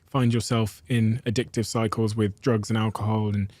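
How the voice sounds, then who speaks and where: Podcast host guy, lowish voice, digs into the story, super dry mic. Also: little pitch movement, moderate pace, darkish tone, no room sound. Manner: probing, summarizing the guest's story. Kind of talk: interview questions.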